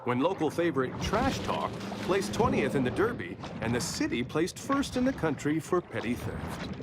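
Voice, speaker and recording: A man playing a sardonic, mock-serious wildlife documentary narrator in a video game, with a deadpan, grandiose delivery. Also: fairly high pitch, moderate pace, heavy background noise. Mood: deadpan, wry. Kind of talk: scripted nature documentary parody narration. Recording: loud music over the voice. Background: loud music.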